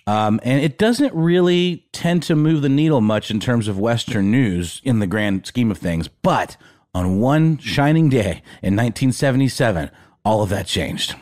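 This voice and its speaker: A man's voice: mid-range voice, lively intonation, normal speed, darkish tone. A man co-hosting a podcast, talking casually with frequent fillers and playful asides. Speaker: podcast co-host. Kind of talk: casual conversational podcast talk.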